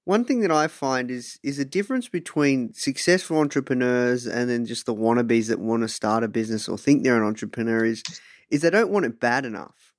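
Male interviewer asking halting questions, dry sound. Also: medium pitch, dry recording. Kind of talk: casual interview.

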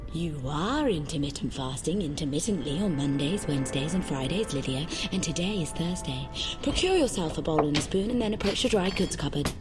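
A woman voicing a calm, controlling female AI assistant that gives precise, soothing commands in an audio drama. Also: low-pitched, fairly quick, music in the background. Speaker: narrator and AI voice.

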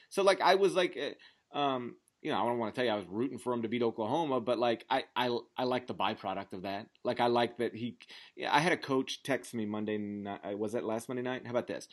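An American man in his thirties hosting a sports podcast in casual chat, rambling emphatically and leaning on filler words like "like". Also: mid-range voice. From Memphis area, southern United States. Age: 30s. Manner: rambling, emphatic, says "like" constantly.

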